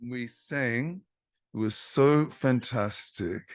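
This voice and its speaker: A man, a singer-songwriter, telling a story in a chatty, animated way to an online audience over a video call. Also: medium pitch, very slow. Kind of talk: casual talk to an online audience.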